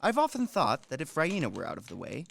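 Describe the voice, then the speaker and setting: A man's voice: on the high side, wildly swooping pitch, fast, vocal fry, fairly bright tone, heavily acted. A man in a radio play acting a shrewd, self-important manservant who coaxes and lectures with emphasis. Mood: proud, boastful. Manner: wheedling, instructing, emphatic.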